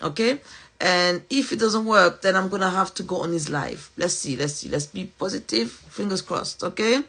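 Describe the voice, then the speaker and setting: A woman's voice: fairly low pitch, normal speed, vocal fry, fairly bright tone, slightly rough. A woman from Portugal with a French accent, hosting a casual live podcast interview in a chatty, upbeat way. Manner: chatty, upbeat, with many fillers and restarts. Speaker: podcast host.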